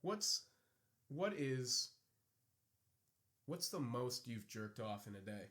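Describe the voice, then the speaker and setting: A man's voice: mid-range voice, sing-song, slow, a bit bright. A young man co-hosting a casual podcast, rambling and joking crudely and laughing often. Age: young adult. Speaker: podcast co-host. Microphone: podcast microphone.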